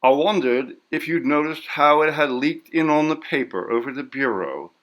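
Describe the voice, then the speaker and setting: A man's voice: fairly high pitch, expressive, moderate pace, fairly bright tone, pitched above the performer's own voice. A man voicing an anxious, evasive, meek woman in a story, speaking in a hesitant, defensive way. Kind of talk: audiobook character voice.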